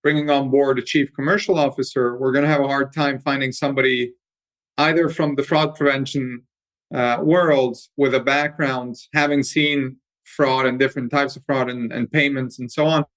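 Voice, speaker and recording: A man's voice: mid-range voice, flat delivery, normal speed, fairly bright tone, low-quality recording. A man from Hungary, answering interview questions fluently and at length from his office, restarting on a word now and then. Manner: fluent, explanatory, with repeated words on restarts. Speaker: company co-founder and guest. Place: company office.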